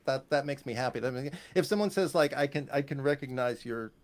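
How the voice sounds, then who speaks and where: A man's voice: medium pitch, fairly quick. A man from the United States chatting casually as an interview guest, joking and rambling as he talks. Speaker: guest, composer and bass player.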